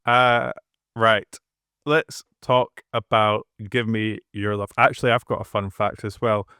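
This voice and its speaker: A man's voice: on the low side, little pitch movement, measured pace, bright, forceful tone, clear, resonant tone, dry recording, studio quality. A man hosting a podcast, chatting casually and sharing his opinions. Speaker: podcast host.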